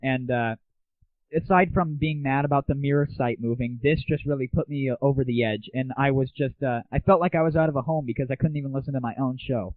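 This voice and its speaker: Male internet show host, casual irreverent ranter, mid-pitched, narrow lo-fi phone-like sound. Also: fairly quick, no echo. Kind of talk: casual solo rant and chat. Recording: muffled phone-like lo-fi 1990s internet audio.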